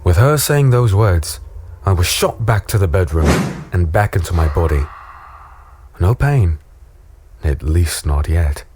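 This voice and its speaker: A brooding fallen man tells his own dark fantasy tale. His voice is low, and the scripted narration is measured and somber in style. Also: expressive, very noisy. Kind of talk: first-person scripted audio drama narration.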